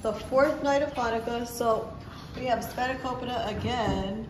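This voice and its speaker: A woman's voice: fairly high pitch, normal speed, roomy sound, slight background noise, rough recording. A woman filming her family at home, chatting casually and cheerfully with the kids as she narrates. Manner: chatty, upbeat, talking to the kids as she films. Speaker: parent filming a family holiday.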